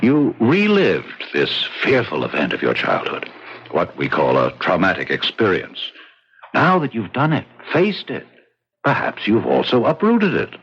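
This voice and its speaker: Very deep, persuasive psychiatrist, radio drama. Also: male voice, wildly swooping pitch, a bit bright, slight background noise, full character voice. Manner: measured, clinical, lecturing. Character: smooth-talking, self-assured male psychiatrist, coolly persuasive. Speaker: doctor. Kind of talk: 1970s radio drama acting. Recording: husky-sounding, muffled old radio recording.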